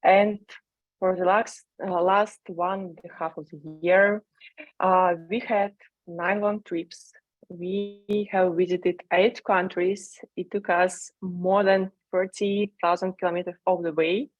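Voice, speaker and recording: Slow Russian woman on dull call audio. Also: fairly low pitch, level tone, dry recording. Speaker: conference speaker, dog trainer. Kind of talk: prepared slide presentation. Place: video call with screen sharing.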